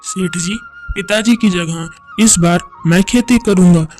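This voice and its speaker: A man voicing an educated young farmer's son in an animated moral story, polite, earnest and determined. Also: sits high, measured pace, faint music behind. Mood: resolute. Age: young adult.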